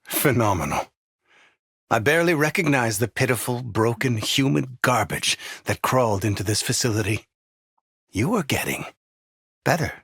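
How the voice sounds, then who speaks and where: A man playing a grandiose, condescending overseer of a sinister facility in a video game, with theatrical, measured, patronizing delivery. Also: medium pitch, lively intonation, a bit bright, husky, no room sound, clean studio sound, exaggerated voice. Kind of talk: scripted video game villain voice lines.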